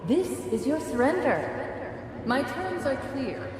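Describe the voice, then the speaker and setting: An actress voicing an imperious artificial intelligence turned tyrant in a video game, coldly calm, formal and commanding. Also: female voice, high-pitched, takes it slowly, crowd noise, full character voice. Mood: commanding threat. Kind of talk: dramatic video game dialogue. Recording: very echoey, long reverb, music behind.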